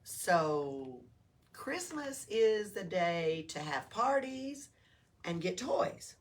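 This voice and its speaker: A woman's voice: fairly low pitch, sing-song, extremely slow, heavily acted. A woman voicing an earnest, curious young male iguana in a storybook read-aloud. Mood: puzzled. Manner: earnest, questioning. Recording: noisy room, distant microphone.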